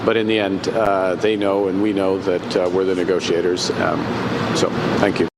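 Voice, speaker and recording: Man politician, low and flat, halting, traffic noise outside. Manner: halting, filler-laden.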